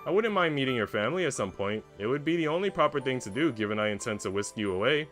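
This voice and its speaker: A man's voice: mid-range voice, animated, fast talker, fairly bright tone, soft background music, lightly acted. A man reading the part of a generous young duchess in a visual novel, playful, teasing and a little grand. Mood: affectionate, coaxing. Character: teasing, generous young duchess, a woman wooing her lover.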